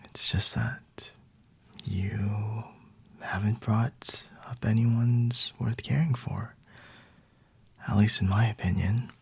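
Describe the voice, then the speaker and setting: A man playing a flirty, aloof, high-ranking vampire in an ASMR roleplay, speaking in a breathy, hushed whisper close to the mic with haughty, seductive pauses. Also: steady tone, dry recording, heavily acted. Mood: intimate, flirty. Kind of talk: ASMR roleplay, scripted monologue to the listener. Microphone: close-up microphone.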